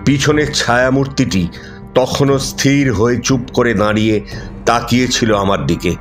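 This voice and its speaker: A man narrating a horror story in the first person, dramatic and suspenseful, with pauses for effect. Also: mid-range voice. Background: music.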